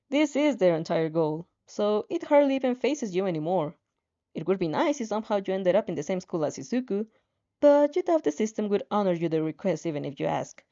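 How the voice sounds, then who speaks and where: A woman's voice: medium pitch, mellow, lo-fi sound. A woman reading a story aloud, often stumbling and repeating words as she narrates. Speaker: fan fiction narrator.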